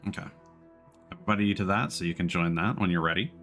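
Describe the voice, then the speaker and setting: A man with a low voice streams a game and comments casually. He is hesitant and thinks aloud as he goes, with music playing faintly behind him. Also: quick pace, fairly bright tone. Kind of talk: casual live gameplay commentary.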